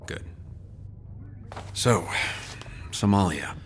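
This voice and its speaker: A man playing a stern older senior army officer, measured and commanding, in an audio drama. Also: low voice, animated, a little hiss or hum, exaggerated voice.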